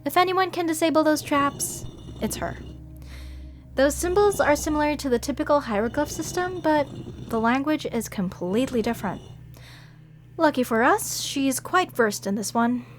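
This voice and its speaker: A young woman voicing a sweet, eager, airheaded museum curator in an audio roleplay drama, wide-eyed and excitable. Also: sits high, normal speed, a little hiss or hum, lightly acted. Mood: trusting.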